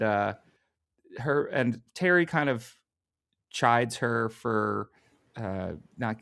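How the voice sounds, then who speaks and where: A man co-hosting a podcast, chatting casually and thinking aloud in a halting way. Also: medium pitch, dry recording, clean studio sound. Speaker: podcast co-host. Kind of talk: casual comic book discussion.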